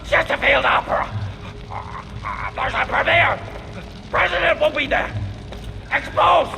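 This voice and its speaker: An actor playing a desperate captured vampire in a video game, with a gravelly, creaking voice. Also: male voice, extremely high pitch, measured pace, sharp tone, full character voice. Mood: panicked, pleading. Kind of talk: dramatic video game acting. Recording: music behind the voice.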